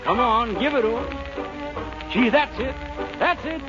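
A man's voice: very high voice, measured pace. A man doing a theatrical 1940s radio comedy song act, crooning and breaking into spoken asides. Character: hammy jazz crooner pleading with his audience. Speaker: singer and comedian. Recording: muffled old radio recording, music behind.

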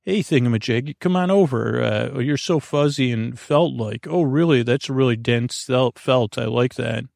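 Creaky-voiced man rambling as a podcast host. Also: mid-range voice, normal speed, darkish tone, no echo, studio quality. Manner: hesitant, meandering, whimsical, with broken-off sentences. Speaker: host of a bedtime sleep podcast. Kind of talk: rambling, off-topic monologue to the listener.

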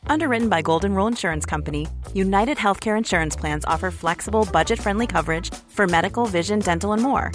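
A woman's voice: medium pitch, quick pace, hardly any pauses. A woman reading a scripted commercial in an upbeat, breezy way. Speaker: ad announcer. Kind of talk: scripted ad read. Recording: faint music bed.

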